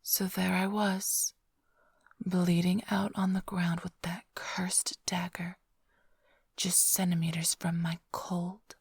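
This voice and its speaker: A woman playing a flirtatious, smug immortal vampire thief in an ASMR roleplay, teasing the listener in a breathy, half-whispered, languid drawl. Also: lowish voice, darkish tone, no echo, clean studio sound, exaggerated voice. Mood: wry, reminiscing. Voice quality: breathy, often sinking into whisper.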